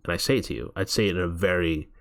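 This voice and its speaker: A man's voice: sits low, racing. A man hosting a movie watch party stream, chatting casually and reading viewer messages aloud. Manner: rambling, reads viewer chat aloud.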